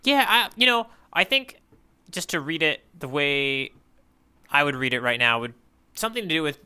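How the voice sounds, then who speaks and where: A man's voice: on the high side, very animated, normal speed, sharp tone, clean tone. A man co-hosting a podcast, recapping a manga chapter in casual chat, rambling with many fillers and easy laughs. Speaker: podcast co-host.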